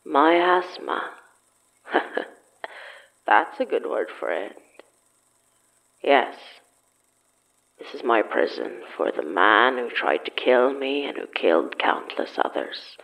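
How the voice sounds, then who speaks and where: A vengeful, sardonic jailer from a horror audio drama. The voice is gravelly and unhurried, swinging widely in pitch with deliberate, bitter weight. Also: male voice, a bit bright, full character voice, low-quality recording. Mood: dark, bitter. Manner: slow, deliberate, calls listener "dove". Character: sardonic, deliberate ghostly jailer guarding forbidden books, vengeful.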